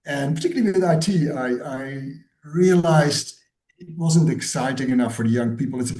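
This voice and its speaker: A man's voice: medium pitch, normal speed, a little mellow. A Dutch-born man in his sixties, a guest author and educator, answering interview questions thoughtfully and often repeating words as he searches for phrasing. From Netherlands. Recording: roomy echo.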